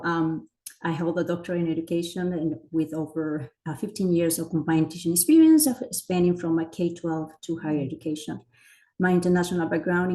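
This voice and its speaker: Presenter: middle-aged woman, low voice, full of hesitations and restarts. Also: mellow, low-quality recording. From Chile. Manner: many fillers and self-corrections. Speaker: educator presenting a teacher training. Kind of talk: conference presentation.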